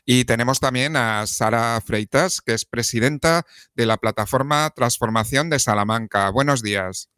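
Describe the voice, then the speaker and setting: A man hosts a live community radio interview. His pitch is average and the sound is dry, with very little room around the voice. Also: moderate pace, hardly any pauses, pushed and bright, clean tone, no echo. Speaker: radio host.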